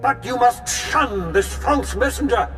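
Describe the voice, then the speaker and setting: A fiery demagogue in a video game. The high, sweeping voice preaches slowly and grandly to followers, with music behind it. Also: male voice, animated, fairly bright tone, exaggerated voice. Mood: solemn, preaching. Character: fiery male cult leader, pompous demagogue. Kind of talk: video game speech acting.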